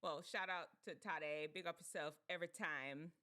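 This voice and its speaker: Brief: Jamaican woman hosting a casual teen talk show, animated and chatty. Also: sits low, moderate pace. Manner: animated, laughs often, muses aloud. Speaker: show host.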